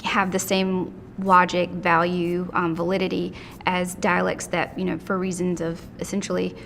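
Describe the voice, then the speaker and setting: A woman with a fairly low voice speaks as an educator, careful and earnest. A faint rumble hums in the background. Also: monotone, normal speed, gravelly, a bit bright. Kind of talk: interview commentary.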